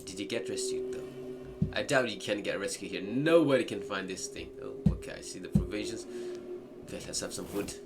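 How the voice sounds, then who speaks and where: A man's voice: fairly low pitch, animated, moderate pace. A man giving casual playthrough commentary, chatty and reacting aloud to a story with questions. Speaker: gaming content creator playing a visual novel. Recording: music playing behind the voice. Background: game music.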